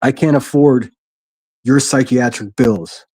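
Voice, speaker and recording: A man with a gruff voice hosting a solo livestream from his quiet living room, reading chat and speaking bluntly with frequent restarts. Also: mid-range voice, slow, dry recording. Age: adult, a military veteran. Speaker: livestream host.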